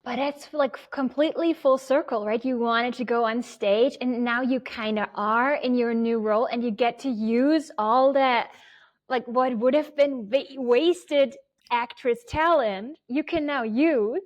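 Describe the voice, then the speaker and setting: A woman's voice: a little high, measured pace, dull audio. A woman hosting a live podcast, chatty and bubbly, laughing often as she talks. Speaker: podcast host. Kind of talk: casual live-stream chat.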